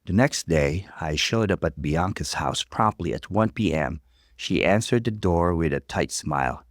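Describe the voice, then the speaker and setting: A man reading a story aloud as scripted, expressive narration. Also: low-pitched, measured pace, no room sound, studio quality.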